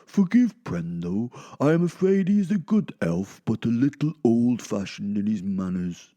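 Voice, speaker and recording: A man voicing a big, jolly, sanctimonious old Santa Claus in an audio drama, with slow, grand, politely preachy delivery. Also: medium pitch, very animated, mellow. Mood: apologetic, genteel.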